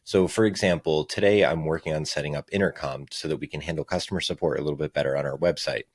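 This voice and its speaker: Very deep-voiced man presenting a software demo. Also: fairly even intonation, quick pace, husky, no echo, studio quality. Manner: explains step by step. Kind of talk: casual screencast tutorial narration.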